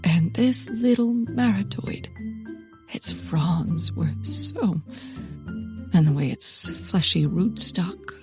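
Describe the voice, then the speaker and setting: Casting: intense female plant explorer, low register, melodramatic confessional delivery, comic audio drama, music underneath. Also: lively intonation. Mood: wistful, lovestruck. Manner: theatrical, confessional, dramatic pauses and hushed emphasis. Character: intense female botanist-adventurer confessing a forbidden love of plants. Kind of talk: comedic audio drama monologue.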